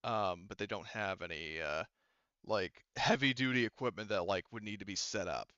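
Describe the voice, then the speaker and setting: Halting male game master, smooth and close. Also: lowish voice, sharp tone, no echo, dull audio. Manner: halting, rambling, casual. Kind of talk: improvised tabletop roleplaying narration.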